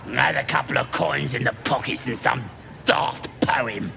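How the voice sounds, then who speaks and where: A man playing a dim, chatty goblin looter in a video game, with a croaky voice and an English working-class accent. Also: mid-range voice, unhurried, music in the background. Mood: cheeky, showy. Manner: chatty, uneducated. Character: dim, cheeky goblin looter with a secret soft side. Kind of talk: video game character acting.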